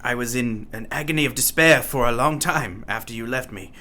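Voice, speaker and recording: A man playing a tormented madman in a radio play, delivering a halting, dramatic confession. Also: medium pitch, pushed and bright, natural voice. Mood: haunted. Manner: haunted, dramatic, halting monologue.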